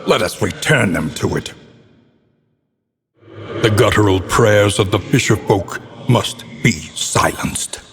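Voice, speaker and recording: Slow, deep, ominous gothic narrator. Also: male voice, lively intonation, vocal fry, a bit bright, faint music behind, heavily acted. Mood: grim, disdainful. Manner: solemn, portentous, deliberate diction. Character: grave, ominous male narrator of a gothic horror world. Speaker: game narrator. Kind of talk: theatrical scripted narration. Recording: long cavernous reverb.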